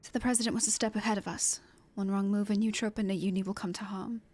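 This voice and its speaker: Casting: woman as proud, repentant male fighter for a game; formal, solemn, fast delivery. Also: mid-range voice, steady tone, darkish tone, natural voice. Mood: grave. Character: proud male warrior, remorseful, earnest and grave. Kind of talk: amateur video game character voicing.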